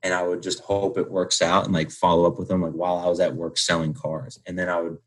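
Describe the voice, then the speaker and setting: A man's voice: deep voice, flat delivery, quick pace. A man in his twenties talking casually and candidly as an interview guest, with informal, slangy phrasing full of "like". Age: 20s. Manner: informal slangy speech peppered with "like". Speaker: podcast guest, young entrepreneur.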